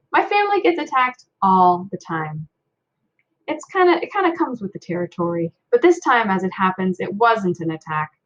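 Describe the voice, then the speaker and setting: A woman narrating a children's book aloud in an expressive storytelling style. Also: mid-range voice, lo-fi sound.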